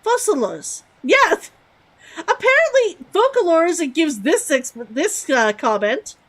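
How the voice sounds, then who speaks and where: A woman's voice: very high-pitched, very animated, slow pace, fairly bright tone. A young woman streaming a game, chatty and giggly, repeating words aloud to test how they sound. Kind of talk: live game commentary.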